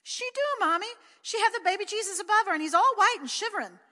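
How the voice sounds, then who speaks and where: Woman voicing a little irish boy, high, pleading, bright, hall reverb. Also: animated, fast, full character voice. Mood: insistent. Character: small Irish boy, simple and tearful.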